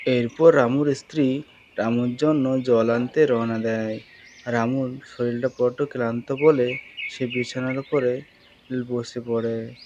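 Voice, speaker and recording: A man's voice: mid-range voice, level tone, slow pace, darkish tone, muffled recording, a little hiss or hum. A man narrating a scripted cartoon story as a storyteller.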